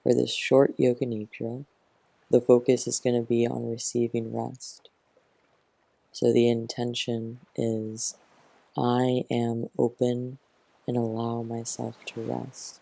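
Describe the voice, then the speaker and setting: A man who teaches yoga nidra, gently guiding a relaxation meditation in a soothing, unhurried manner, close to the mic. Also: medium pitch, monotone, vocal fry, no room sound.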